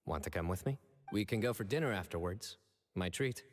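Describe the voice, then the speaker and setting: Calm terse young official in a game, low voice, deadpan, bit of music behind. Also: male voice, a little fast, long reverb tail. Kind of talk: video game voice acting.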